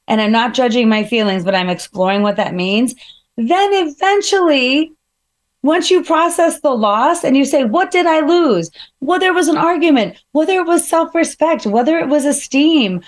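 A woman with a slightly harsh voice, talking through feelings and examples in a relaxed, reflective conversational way, with frequent fillers. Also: high-pitched. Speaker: guest speaker. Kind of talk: casual conversational advice.

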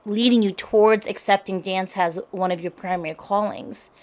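A woman's voice: medium pitch, fairly even intonation, moderate pace. An American woman hosting a candid, chatty interview show, speaking expressively and directly to her audience. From grew up in the United States. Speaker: show host.

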